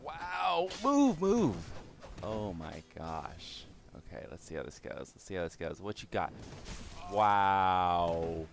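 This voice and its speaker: Slightly raspy young man gaming. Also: sits low, very animated, slow, a little hiss or hum. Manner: swears and exclaims at the game, self-mocking. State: hoarse from yelling. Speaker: gaming channel host. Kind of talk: casual let's-play commentary.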